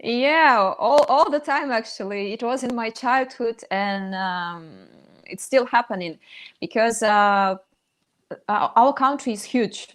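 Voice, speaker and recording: A young woman from northern Russia with a Russian accent, answering interview questions in a halting, thoughtful way with many fillers, over a video call. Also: fairly high pitch, fairly bright tone. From Sakha Republic, northern Russia. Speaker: singer in a metal band, guest.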